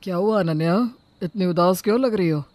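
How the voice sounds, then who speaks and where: An actor voicing a mild young husband who tries to calm his wife and mother. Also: mid-range voice, a little fast, no echo, natural voice.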